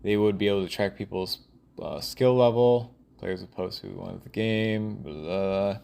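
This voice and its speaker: A man thinking aloud in a rambling, casual audio log, halting and often trailing off mid-thought. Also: low-pitched, creaky, warm tone, clean tone. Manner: casual, profane.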